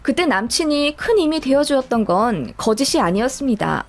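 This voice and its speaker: A woman's voice: high-pitched, big pitch swings, moderate pace. A woman reading a scripted first-person personal story as a narrator, in a storytelling style. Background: background music.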